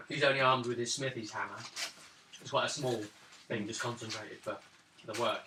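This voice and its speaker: A man's voice: medium pitch, steady tone, normal speed, bright, forceful tone, a little hiss or hum. A man running a tabletop game as game master, narrating and explaining the rules. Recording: roomy room, mic far off.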